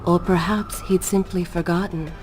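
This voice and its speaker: Woman doing a solemn wise lady narrator for a fantasy game, lowish voice, formal and wistful, music behind. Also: steady tone, moderate pace, a little mellow, close to an everyday voice. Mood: wistful reproach. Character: solemn, wise female storyteller, mystical and wistful. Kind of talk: scripted dramatic story narration. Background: game soundtrack music.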